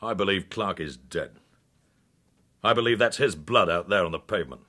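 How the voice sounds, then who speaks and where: An actor playing a menacing, sardonic British secret service interrogator in a radio crime drama, speaking in a measured, insinuating way. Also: male voice, low-pitched, bright, forceful tone. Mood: accusing.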